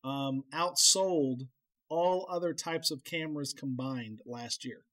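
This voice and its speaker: A man hosting a casual, chatty interview in a halting, rambling, self-deprecating way. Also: medium pitch, fairly bright tone. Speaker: podcast interview host.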